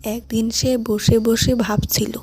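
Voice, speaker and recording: A woman narrates a children's cartoon tale in a slightly raised voice. She takes her time with each phrase. Music plays clearly behind her. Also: a little high, level tone, takes it slowly, a little mellow. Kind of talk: scripted children's story narration.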